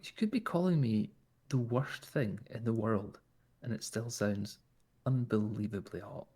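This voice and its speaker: A man's voice: lowish voice, measured pace, dark tone, breathy edge. A man with a Scottish accent talking in character during a roleplay, flirtatious and teasing. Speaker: roleplay player.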